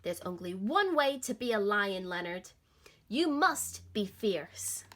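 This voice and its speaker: Fierce menacing lions, voiced by a woman, loud and slow, storybook reading. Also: mid-range voice, very animated, heavily acted. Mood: commanding. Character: fierce growling pack of lions.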